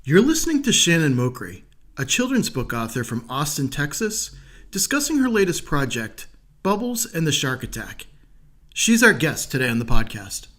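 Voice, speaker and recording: Male podcast host, higher-pitched voice, friendly interview delivery. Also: wildly swooping pitch, moderate pace, fairly bright tone. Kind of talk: friendly interview and show introduction.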